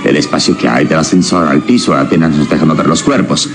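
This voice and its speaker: An actor playing a calm, formal male adventure hero in a radio drama, speaking in a measured, solemn way. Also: rumbling low. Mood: grave. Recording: loud dramatic music underneath.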